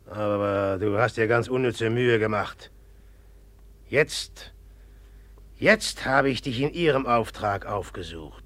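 A man's voice: mid-range voice, fairly bright tone, no echo. An actor playing a brooding, jealous young man in a 1950s radio play, speaking bluntly and with mockery. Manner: blunt, sullen, mocking. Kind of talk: 1950s radio drama acting.

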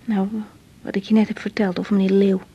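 An actress playing a troubled young woman doctor in a naturalistic 1980s radio drama, hesitant and halting. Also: medium pitch, flat delivery, dark tone, slightly rough, muffled recording. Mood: uneasy, confiding. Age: young adult. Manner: hesitant, halting, repeating words.

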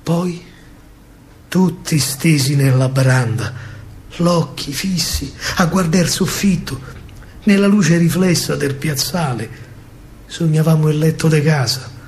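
A man with a Roman accent performs a theatrical verse monologue as a soldier recalling wartime, in measured, weighted phrases. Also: fairly high pitch, darkish tone, slight background noise. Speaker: actor and storyteller. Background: music.

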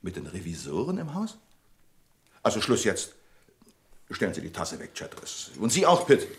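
Curt bank manager, swooping intonation. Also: male voice, fairly low pitch, huge pitch swings, moderate pace. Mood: stern, curt. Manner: curt, officious, sarcastic. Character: strict, irritable male bank manager, sticklerish and commanding. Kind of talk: German radio play acting.

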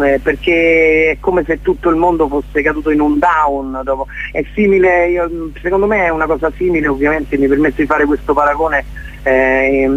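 A man being interviewed casually as a theatre guest, searching for his words as he explains things. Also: fairly high pitch. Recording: dull lo-fi radio sound.

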